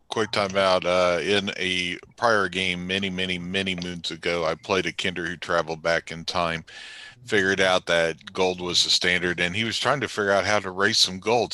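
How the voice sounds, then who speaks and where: A man's voice: low voice, sharp tone. A man playing a tabletop game, chatting casually and telling stories as a player. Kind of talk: casual chat and storytelling.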